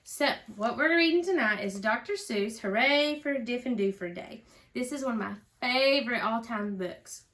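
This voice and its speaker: A woman reading a children's storybook aloud in an expressive, teacherly way, with chatty asides and questions to a young listener. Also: on the high side, normal speed. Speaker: parent reading a storybook aloud for a school read-aloud.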